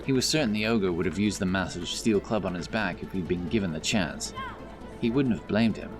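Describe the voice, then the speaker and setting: A man's voice: low voice, a little fast. A man reading scripted fantasy narration as an audiobook narrator, with background music behind him. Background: background music and effects.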